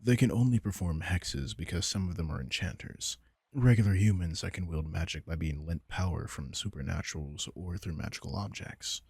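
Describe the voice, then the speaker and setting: A man playing a protective, worried vampire king in an intimate comfort audio roleplay, speaking in a hushed, tender, deliberate way with a gravelly, husky voice. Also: sits low, dry recording, exaggerated voice. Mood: grave, explaining.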